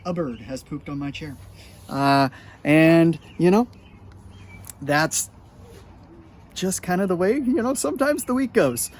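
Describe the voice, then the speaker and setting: A middle-aged man telling a casual story to his students in a chatty, animated way, with lots of filler words. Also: a little high, unhurried, pauses often. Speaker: high school sports medicine teacher.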